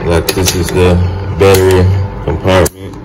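Man, thirties, low voice, casual DIY host, informal step-by-step talk, noisy home room recording. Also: little pitch movement, moderate pace. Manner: informal, talking through steps as he works. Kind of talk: casual hands-on product demo and tutorial. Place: small home game room, indoors.

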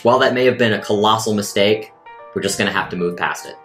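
A man's voice: on the low side, fast talker, fairly bright tone. A man playing a tabletop roleplaying game, reasoning aloud in casual, dry in-game chat. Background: faint music.

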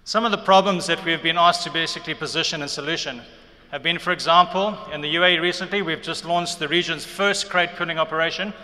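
Bright, forceful middle-aged man in a hall. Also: fairly high pitch, fast. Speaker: keynote speaker. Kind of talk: prepared conference speech. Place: large hotel ballroom at a conference. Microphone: podium gooseneck microphone. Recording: reverberant hall sound through PA.

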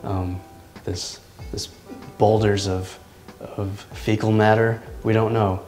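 A man in his thirties speaks slowly and thoughtfully, hesitating and restarting as he searches for words. His voice sits low and stays flat, with little rise or fall. The room around him rings with echo, and soft music plays underneath. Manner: thoughtful, halting, many ums and restarts. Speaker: artist. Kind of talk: reflective interview talk. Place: large indoor art studio. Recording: echoey studio room.

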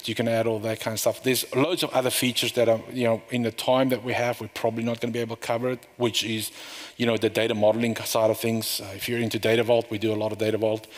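A middle-aged man giving a conference talk, walking through a live software demo in a loose, rambling way with fillers and restarts. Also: medium pitch. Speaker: conference speaker. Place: conference room. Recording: long reverb of a hall.

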